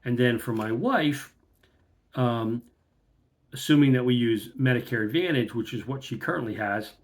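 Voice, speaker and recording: A man's voice: mid-range voice, moderate pace. A middle-aged man vlogging casually from a room at home, talking to a close webcam and working through figures in a plain, methodical way with fillers. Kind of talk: casual talk to camera.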